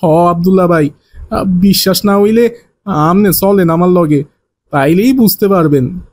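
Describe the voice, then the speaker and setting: A man acts out a cowardly village thief for a cartoon. His voice sits high for a man. He speaks in rural Bangladeshi dialect, pleading and repeating his excuses. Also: unhurried, darkish tone. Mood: pleading, frightened. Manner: pleading, colloquial, repeats himself.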